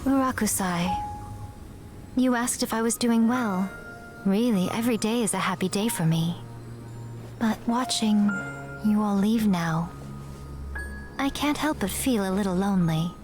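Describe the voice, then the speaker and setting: An actress playing an elegant, playfully haughty fox shrine maiden in an anime video game, with a coy, self-satisfied drawl. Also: female voice, mid-range voice, a little slow, long pauses, music in the background, lightly acted. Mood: gentle, composed.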